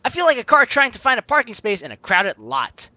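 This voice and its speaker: A young man plays through a story game with bright, forceful energy. His pitch swings widely as he chats playfully and reads out lines dramatically, with music running behind him. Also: huge pitch swings, brisk, no echo, highs cut off. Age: young adult. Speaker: let's player hosting a game series. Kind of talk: lively game commentary, reading dialogue aloud.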